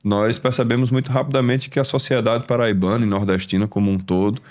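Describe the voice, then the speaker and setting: A man's voice: medium pitch, steady tone, quick pace, a little mellow. A man hosting a podcast, formal and welcoming as he introduces the show and its guest. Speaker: podcast host, foundation superintendent.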